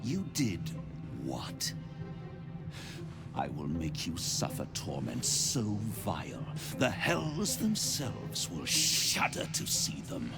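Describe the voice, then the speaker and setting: An actor playing a suave, cruel devil lord in a video game, with grand, menacing, drawn-out delivery. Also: male voice, sits low, huge pitch swings, gravelly, loud music behind the voice, exaggerated voice. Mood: cold fury. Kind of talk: dramatic video game villain acting.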